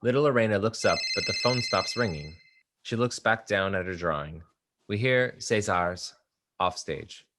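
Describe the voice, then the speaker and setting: Very deep-voiced male stage-direction narrator. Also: animated, normal speed, heavy background noise. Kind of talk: scripted narration.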